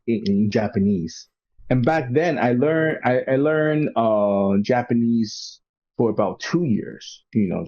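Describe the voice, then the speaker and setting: A man's voice: mid-range voice, darkish tone, lo-fi sound. A man co-hosting a podcast, chatting casually, often restarting and repeating words as he goes. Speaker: podcast co-host. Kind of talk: casual conversation.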